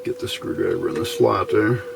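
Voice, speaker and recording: A man giving an informal, unscripted hands-on electronics demonstration, talking haltingly and thinking aloud as he goes. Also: lowish voice, wildly swooping pitch. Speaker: radio hobbyist presenting his build. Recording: clear background noise.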